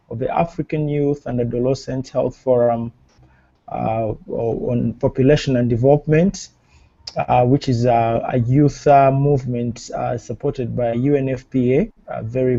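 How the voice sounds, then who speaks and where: A man's voice: mid-range voice, gravelly, dark tone, dry recording. A man from Uganda introducing himself as a youth health advocate on a video call, hesitating with many fillers. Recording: muffled video call audio.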